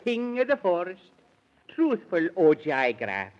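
A man's voice: high-pitched, wildly swooping pitch, slow, full character voice. An actor voicing a whimsical, fretful lion king in a 1930s children's radio play, with a dithering, theatrical delivery. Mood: overjoyed. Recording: muffled vintage 1930s radio recording.

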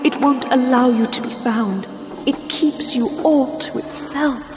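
An actress playing a murdered wife returned from the dead, reproachful and mournful, speaking slowly with tender menace in a horror audio drama. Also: female voice, sits high. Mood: mournful accusation. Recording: long hall reverb under music.

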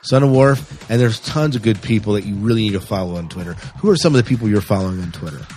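A man's voice: on the low side, lively intonation, fast talker, a little mellow, slight background noise. A man hosting a podcast, chatting casually and informally. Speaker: podcast host. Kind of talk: casual podcast outro chat.

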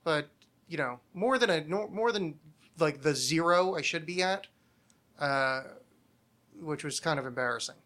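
A man with a fairly high, very bright and forceful voice hosts a casual podcast. He talks like an opinionated nerd on a rant, swearing freely as he argues his points. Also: lively intonation, a little slow. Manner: ranting, profane, nerdy. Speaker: podcast host.

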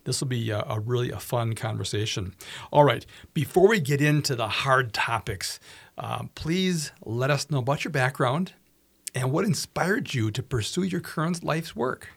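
A man hosting a call-in radio talk show, upbeat and reading phone numbers slowly. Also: mid-range voice, expressive, gravelly, slightly rough, no echo.

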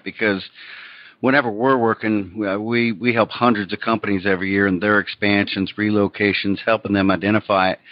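Man, podcast host, friendly complimentary interviewer, low voice, dry lo-fi sound. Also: no room sound. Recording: dry, dull lo-fi audio.

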